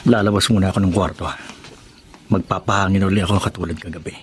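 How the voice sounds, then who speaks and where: An actor playing a curious, adventurous young city man in a Filipino radio drama, with an earnest, expressive delivery. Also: sits low, fast, slight background noise, close to an everyday voice. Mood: hushed curiosity.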